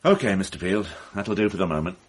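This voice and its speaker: A man's voice: deep voice, fast, background noise, natural voice. An actor playing a dry, authoritative male police inspector in a radio drama, questioning in a clipped, probing way. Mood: interrogating.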